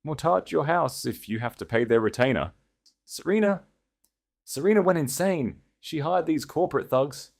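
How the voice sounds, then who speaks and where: A man's voice: a little high, expressive, moderate pace, vocal fry, pitched above the performer's own voice. A man voicing a proud, desperate executive in an audiobook, with frantic, breathless, insistent delivery. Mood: panicked pleading. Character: proud, desperate disgraced male executive, panicking and pleading.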